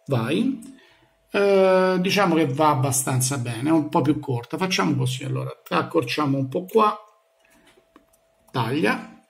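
A man giving an informal hands-on software tutorial, thinking aloud as he narrates each step. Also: on the high side, vocal fry. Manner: thinks aloud, narrating each click with small verbal sound effects. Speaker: tutorial presenter. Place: indoors in a room. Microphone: handheld phone filming a laptop screen.

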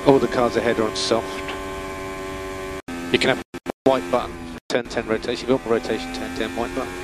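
A man working as a race engineer gives calm, methodical, terse instructions over team radio, repeating key calls. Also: mid-range voice, a little fast. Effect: team radio transmission. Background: vehicle hum and noise.

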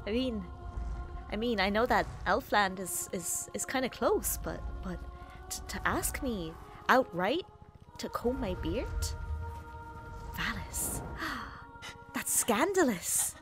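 A woman's voice: medium pitch, expressive, slow, pauses often, fairly bright tone, soft background music. A woman streaming a game, sniffly, teasing her chat in a playful, profane way. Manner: playful, teasing, swears freely. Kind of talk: casual gameplay livestream chat.

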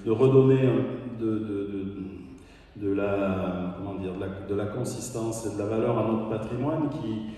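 Hesitant mayor in an echoey hall. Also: male voice, on the low side, measured pace, mellow, distant mic. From France. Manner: hesitant, many fillers and restarts. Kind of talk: welcome speech. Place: town hall ceremony room.

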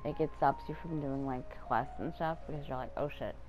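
A man chats casually while streaming a game. His medium-pitched voice is offhand and sweary, with game music behind it. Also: brisk. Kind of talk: casual gameplay chat.

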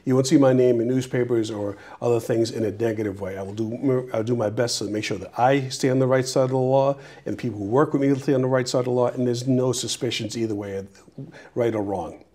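A middle-aged man from Peru, a mayoral candidate answering interview questions in a small TV studio on a lapel mic, earnest and halting with restarts. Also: medium pitch, fairly even intonation, dark tone.

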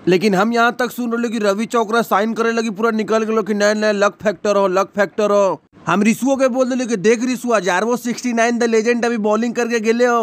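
A man's voice: very high voice, dry recording. A man dubbing comic lines over cricket press-conference clips, rambling and joking in a with a close mic. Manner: joking, rambling dialect banter. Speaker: comedy dubber.

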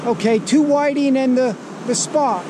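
A man's voice: extremely high pitch, unhurried. A man giving casual running commentary as a fishing vlogger, outdoors on a beach. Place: outdoors on an open ocean beach. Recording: loud wind and surf noise outdoors. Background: waves, surf and wind.

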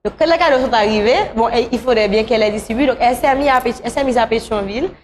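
A woman's voice: a little high, rapid-fire. A woman, speaking conversationally as a guest in a talk show interview. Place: small talk show studio, on a couch.

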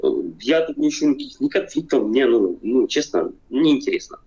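A man's voice: medium pitch, animated, a little fast, warm tone. Man from Ukraine, forties, hesitant with many fillers and restarts, muffled lo-fi webcam sound, video call from home. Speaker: video chat guest. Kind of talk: casual debate over video chat. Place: home room, seated in armchair. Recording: dull lo-fi video call audio.

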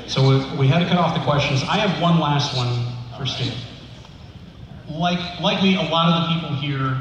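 A man's voice: on the high side, normal speed. A man hosting a convention panel on stage, speaking off the cuff as moderator. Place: large convention hall. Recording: very echoey hall, distant, noisy crowd.

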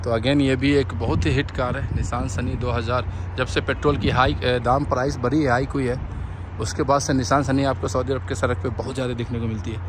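A man's voice: medium pitch, little pitch movement, fairly quick, background noise. A man gives a casual, informative walk-around as a car vlogger, mixing in English words, recorded outdoors on a handheld phone. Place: outdoor used-car parking lot at night. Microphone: handheld phone, behind the camera.

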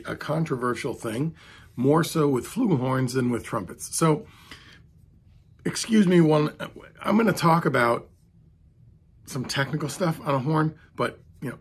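A hoarse man talking in a rambling, chatty way to the camera from a home music room, with a raspy voice from vocal cord strain. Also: medium pitch. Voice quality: hoarse, gravelly. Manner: rambling, chatty, self-deprecating. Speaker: trumpet hobbyist vlogger. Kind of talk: casual talk to camera. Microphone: studio mic beside camera.

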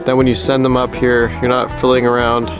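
A man's voice: medium pitch, monotone, a little fast, over music. A man giving a casual, plain-spoken, practical walkthrough as the host of a DIY building vlog. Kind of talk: casual explanatory walkthrough of construction work.

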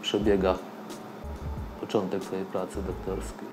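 A middle-aged man, a professor, speaking thoughtfully in an office interview and seminar, pausing and correcting himself. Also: low voice, monotone, over music. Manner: thoughtful, with pauses and self-corrections. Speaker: scientist and professor.

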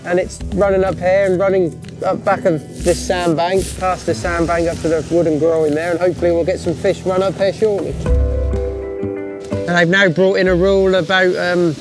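A man's voice: high voice, little pitch movement, moderate pace. A young man, talking informally and plainly as a sea angler in a documentary-style piece. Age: young adult. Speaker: sea angler and crab fisherman. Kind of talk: informal documentary-style talk to camera about fishing. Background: music.

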